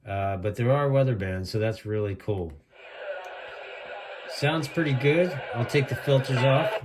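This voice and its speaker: A man's voice: mid-range voice, measured pace, a crowd in the background. A man giving a casual, rambling product demonstration as an enthusiastic hobbyist reviewer.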